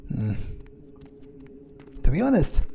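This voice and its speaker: A man playing a video game in character, musing aloud in an understated, deadpan and slightly hesitant way. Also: a little high, takes it slowly, creaky, slight background noise. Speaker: gamer playing a vampire in character.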